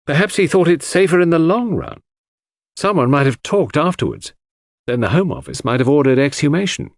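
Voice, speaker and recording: A man playing an earnest, cautious gentleman sleuth who explains his theories in a tentative manner. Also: fairly high pitch, big pitch swings, fairly quick, no echo, clean studio sound, pitched above the performer's own voice. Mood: doubtful.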